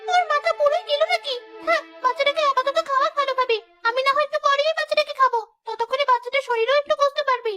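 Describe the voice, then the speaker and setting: Cartoon villain: hungry old she-ghost, extremely high and shrill, sneering, rapid delivery. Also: sharp tone, soft background music. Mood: mocking. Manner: shrill, mocking. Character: greedy, sneering older she-ghost who craves human babies. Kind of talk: Bengali cartoon voice acting.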